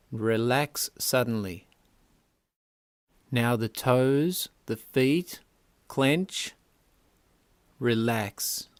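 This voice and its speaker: A man working as a yoga nidra instructor, guiding relaxation in measured, deliberate phrases. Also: mid-range voice, clear, resonant tone, no echo. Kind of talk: guided relaxation meditation instruction.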